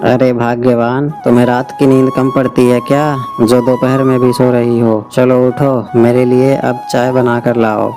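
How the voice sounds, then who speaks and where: A man's voice: mid-range voice, level tone, measured pace, few pauses, music in the background, natural voice. A man voicing a hardworking, patient village potter husband in a moral-story cartoon, speaking plainly and earnestly. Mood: impatient.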